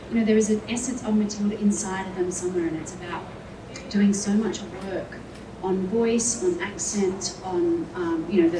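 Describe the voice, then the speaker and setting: A woman from a theatre production, answering interview questions in a thoughtful, rambling way. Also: mid-range voice. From Australia. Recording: echoey, distant, noisy ambience.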